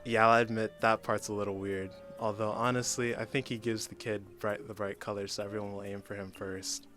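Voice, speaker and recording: Man acting supervillain, low voice, wry, defensive, slangy, comedic radio play, music clearly behind. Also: flat delivery, fairly quick, close to an everyday voice.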